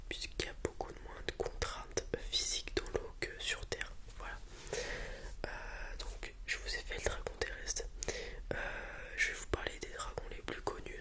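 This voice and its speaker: Whispering man in a hesitant ASMR roleplay. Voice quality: soft breathy whisper. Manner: hesitant, halting, informal explaining. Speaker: ASMR roleplayer playing a dragon breeder. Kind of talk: ASMR roleplay, improvised explanation.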